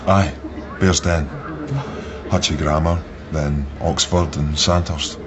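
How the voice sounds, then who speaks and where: Young Glaswegian army veteran, low-pitched, intense and wry, radio play, dull recording. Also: male voice, steady tone, slow pace. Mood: wry, guarded. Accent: Glasgow Scottish. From Glasgow, Scotland. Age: 30s. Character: intense wounded young ex-army officer, sardonic. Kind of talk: naturalistic radio drama acting. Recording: muffled sound, busy crowd behind.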